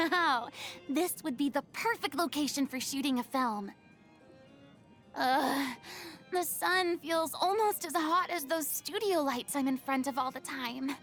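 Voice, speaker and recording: Game role: haughty young female diva, high register, unhurried delivery, grand theatrical airs and dramatic self-importance. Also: fairly bright tone, heavily acted. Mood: flustered. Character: theatrical, vain, dramatic young female celebrity performer, secretly lonely. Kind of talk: video game character voice lines.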